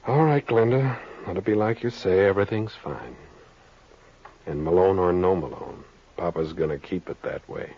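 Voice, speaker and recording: A man playing a possessive, protective tough-guy husband in an old-time radio crime drama, slangy and quietly menacing. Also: low-pitched, normal speed. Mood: low, protective. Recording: muffled, noisy old-time radio recording.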